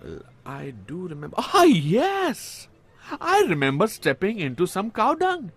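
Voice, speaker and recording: A theatrical, bumbling novelist in a radio comedy-mystery. The voice is high and husky, leaping around in pitch, effusive and easily flustered. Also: male voice, huge pitch swings, measured pace, heavily acted. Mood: wistful, flustered. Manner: effusive, hesitant when challenged. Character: excitable, bumbling male pulp novelist, eager and theatrical. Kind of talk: radio drama acting.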